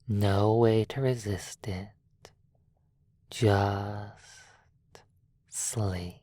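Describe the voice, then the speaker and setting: Close and dry, a woman plays a predatory vampire lulling someone to sleep in an audio roleplay. The voice is dark and very low for a female, with a breathy edge. Delivery is extremely slow and hypnotic, often sinking into a whisper. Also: no echo, exaggerated voice. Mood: hypnotic, possessive. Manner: slow, hushed, drawn-out, often slipping into whispers. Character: seductive predatory vampire stranger, hypnotic and possessive.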